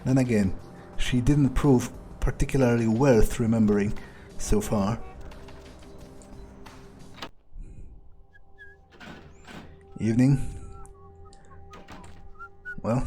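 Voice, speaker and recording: A man's voice: mid-range voice, measured pace, dark tone, faint music behind, lightly acted. A man voicing a cynical, suspicious vampire in an in-character game roleplay, musing hesitantly and swearing freely. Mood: dry, musing. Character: cynical, suspicious, foul-mouthed young male vampire, thinking aloud.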